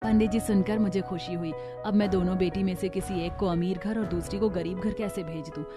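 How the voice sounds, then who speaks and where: A woman voicing a gentle, reasonable middle-aged village mother in a Hindi cartoon story, calm and soothing. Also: on the low side, brisk, music in the background, natural voice. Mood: concerned.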